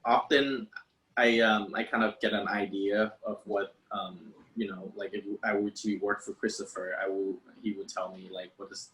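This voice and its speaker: A man's voice: fairly low pitch, little pitch movement, moderate pace, a bit bright, a little hiss or hum, low-quality recording. A man from Vietnam, a special effects artist hesitantly answering interview questions and searching for words.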